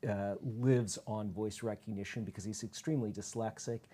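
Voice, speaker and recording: A man's voice: fairly low pitch, fairly even intonation, moderate pace, warm tone. A middle-aged man talking conversationally as a panelist in a discussion.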